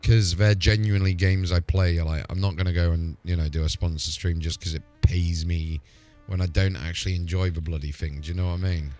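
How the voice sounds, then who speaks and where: Low-voiced man chatting on a gaming stream. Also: fairly even intonation, fairly quick, few pauses, a little mellow, soft background music. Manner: informal, mild swearing, matey. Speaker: live streamer. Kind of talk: casual gaming livestream chat.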